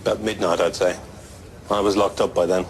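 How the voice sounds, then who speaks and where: An actor playing an offhand, laconic pub bartender who answers tersely in an audio drama. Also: male voice, low-pitched, steady tone, brisk, gravelly, slight background noise.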